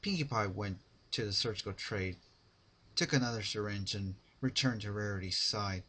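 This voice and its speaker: A man narrating fan fiction as a dramatic reading, with deliberate phrasing. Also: fairly low pitch, pushed and bright.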